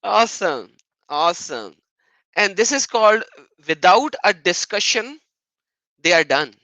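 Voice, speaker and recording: A man's voice: sits high, expressive, unhurried, pushed and bright, dry recording, poor audio. A man hosting an argumentative live stream on religion, often mocking and repeating phrases for emphasis.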